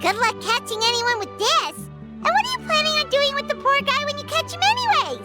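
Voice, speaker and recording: Game casting: childlike floating fairy sidekick, squeaky, very high and animated, cheeky and exclamatory. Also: female voice, a little fast, faint music behind, exaggerated voice. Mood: incredulous. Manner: exclamatory, teasing, slangy. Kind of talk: video game voice acting.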